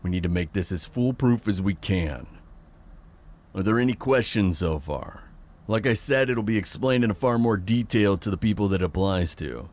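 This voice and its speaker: A man acting as an authoritative police chief with a low-pitched voice. He briefs his team in a measured, formal way, matter-of-fact and in command, as in an audio drama. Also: animated, a little mellow, natural voice. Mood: businesslike, firm. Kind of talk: audio roleplay briefing monologue.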